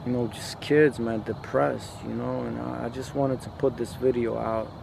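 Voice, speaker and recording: A man from a post-Soviet country speaking in a casual, reflective monologue, halting and repeating himself, with lots of slangy filler. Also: medium pitch, fairly even intonation, creaky, noisy background. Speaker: podcast host.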